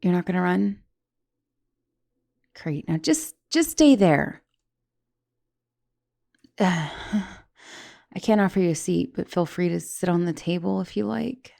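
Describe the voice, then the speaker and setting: A young woman playing a guilty, caring giantess college student in an intimate ASMR roleplay, hesitant and stammering, with soft turns toward whispering. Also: lowish voice, lively intonation, measured pace, vocal fry, long pauses. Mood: weary, careful. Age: young adult. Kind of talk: ASMR roleplay, intimate one-sided dialogue.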